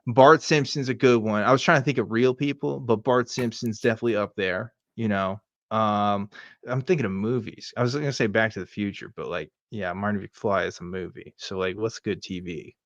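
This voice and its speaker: A man's voice: lowish voice, a little fast, no room sound. Sardonic American man, dry, slightly muffled sound. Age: middle-aged. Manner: sardonic, profane. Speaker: podcast host. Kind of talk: casual commentary, reading aloud and riffing.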